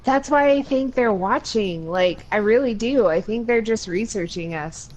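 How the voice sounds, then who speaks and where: A woman's voice: mid-range voice, animated, normal speed, a little hiss or hum, low-quality recording. A woman co-hosting a casual group podcast, giggly and loose, filling her talk with "like". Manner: giggly, trails off with "like". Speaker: podcast co-host.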